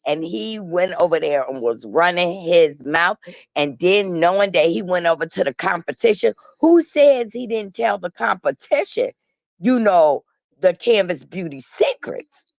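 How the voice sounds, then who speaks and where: A woman's voice: lowish voice, animated, unhurried, creaky, dry recording. Dull-sounding, emphatic woman gossip host. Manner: emphatic, sassy storytelling in colloquial speech. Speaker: talk show host. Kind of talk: casual opinionated gossip commentary. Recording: dull, lo-fi online audio.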